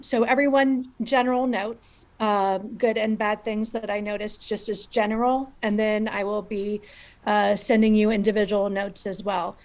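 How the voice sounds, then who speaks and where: A woman director giving notes on a video call, halting with many fillers. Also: a little high, darkish tone. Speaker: director and writer.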